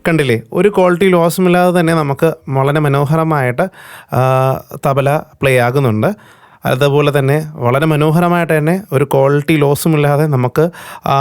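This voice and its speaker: A man's voice: fairly high pitch, clear, resonant tone, no echo, clean studio sound. A man with a Malayali accent explaining and demonstrating, as the presenter of a keyboard tutorial. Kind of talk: explanatory product demo talk.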